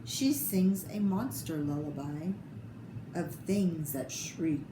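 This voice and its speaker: Slow-paced American woman reading to children, echoey room. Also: low voice. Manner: expressive, unhurried, pointing out pictures to young listeners. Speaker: storyteller reading picture books to children. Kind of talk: read-aloud picture book narration with asides to listeners. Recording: echoey room with clear background noise.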